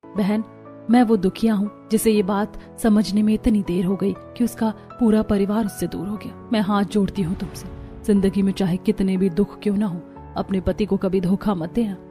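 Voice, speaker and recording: A woman playing a gentle, repentant and devoted daughter-in-law in a family cartoon, humble and pleading. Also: medium pitch, steady tone, moderate pace, soft background music, natural voice. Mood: earnest pleading.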